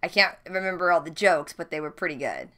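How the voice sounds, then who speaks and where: A woman vlog host chatting casually and candidly, with animated, jokey commentary on her old videos. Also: lowish voice, brisk. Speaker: host of a reaction channel.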